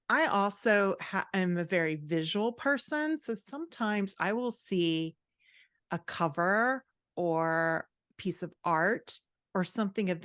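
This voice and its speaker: A woman's voice: mid-range voice, clear, resonant tone, no echo, studio quality. A woman speaking as an interview guest, an author and writing coach who explains things in a conversational way.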